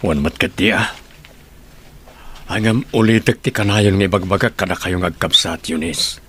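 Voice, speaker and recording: A man with an Ilocano accent playing a gentle, hardworking middle-aged father in an audio drama. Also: low-pitched, moderate pace, husky, heavily acted. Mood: gentle, earnest.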